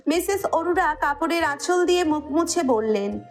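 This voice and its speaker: High-pitched Bangladeshi woman narrating a love story. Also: lively intonation, normal speed, soft background music. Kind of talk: scripted audio-story narration.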